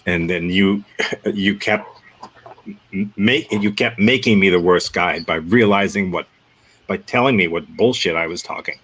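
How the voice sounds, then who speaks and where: A man's voice: deep voice, a little slow, fairly bright tone, slightly rough. A man leading an improv workshop, giving rambling, upbeat feedback on a scene and setting up the next exercise. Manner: rambling, self-correcting, upbeat. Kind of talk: casual workshop feedback and instruction.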